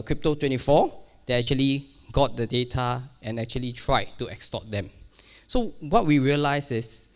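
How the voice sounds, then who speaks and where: A man giving a keynote at a conference, speaking casually, with many fillers and restarts and a self-deprecating touch. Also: mid-range voice. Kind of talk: keynote talk on cybersecurity. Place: conference hall.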